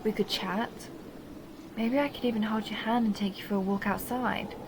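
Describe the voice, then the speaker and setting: A woman's voice: medium pitch, steady tone, moderate pace, background noise, lightly acted. A woman playing a seductive, possessive vampire countess who teases and condescends in an intimate audio roleplay monologue. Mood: coaxing, wistful. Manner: teasing, mocking, intimate purring address.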